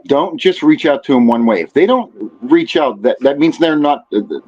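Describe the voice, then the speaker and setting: A man giving practical advice in casual podcast conversation, repeating and rephrasing his points in a halting way. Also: mid-range voice, dark tone, dull audio. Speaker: podcast guest.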